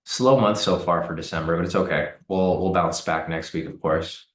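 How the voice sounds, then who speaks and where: Low-voiced raspy guy chatting on his trading stream, hoarse, lots of ums, roomy echo. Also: normal speed. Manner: relaxed, repeats words and uses many fillers. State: hoarse from cold weather. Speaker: trading livestream host. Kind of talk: casual live-stream commentary answering chat.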